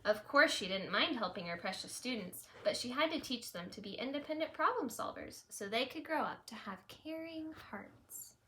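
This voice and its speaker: Lively woman reading a children's storybook. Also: a little high, normal speed, hardly any pauses, a little hiss or hum. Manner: simple, child-directed, wondering aloud. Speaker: storybook reader, teacher. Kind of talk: animated children's read-aloud with chatty asides.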